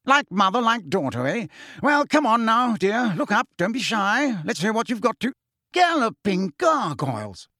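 Male reader as a pompous, jolly, blustering middle-aged minister, lively and higher, audiobook. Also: moderate pace, no echo, studio quality, full character voice. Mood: hearty. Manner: blustering, heartily jolly, mocking.

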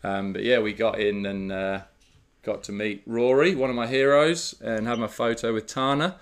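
A man co-hosting a casual podcast, telling a story in a relaxed, chatty way with frequent fillers. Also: fairly low pitch, moderate pace, smooth. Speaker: podcast co-host. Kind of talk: casual chat.

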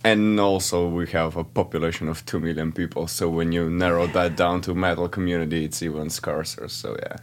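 A man from Slovenia, a band member talking in a relaxed, offhand way as a podcast guest. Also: sits low, a little fast, few pauses. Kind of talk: casual interview chat.

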